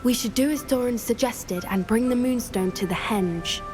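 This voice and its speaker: An actress playing an earnest young female student who loves magical creatures, speaking in a soft, thoughtful, sometimes hesitant way in video game dialogue. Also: fairly high pitch, moderate pace, over music, close to an everyday voice. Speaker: game character.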